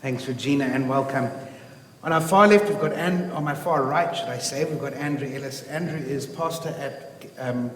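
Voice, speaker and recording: A man's voice: mid-range voice, normal speed, a bit bright. A man moderating a live panel, speaking informally as he welcomes and introduces people. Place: indoor room, live event streamed online. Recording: echoey room with faint noise.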